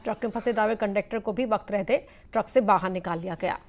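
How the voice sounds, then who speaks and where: A woman's voice: medium pitch, fast talker. A woman news anchor reading a scripted news bulletin.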